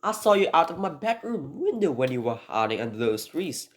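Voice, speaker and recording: A man's voice: on the high side, big pitch swings, a little fast, pitched above the performer's own voice. A man puts on a scheming, bitter noblewoman for a radio drama. The voice is lifted well above a usual male register. She is insistent and conspiratorial, urging betrayal like a secret ally. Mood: coaxing.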